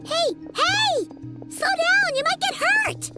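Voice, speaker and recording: Tiny excitable game fairy: extremely high, childlike, very animated, blunt and exclaiming. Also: female voice, slow, over loud music, heavily acted. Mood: alarmed. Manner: exclaiming, refers to self in third person. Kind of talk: video game voice acting.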